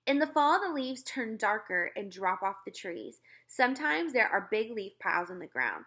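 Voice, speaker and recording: A woman reading a children's book aloud in an expressive storytelling style. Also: mid-range voice, normal speed. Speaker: read-aloud reader.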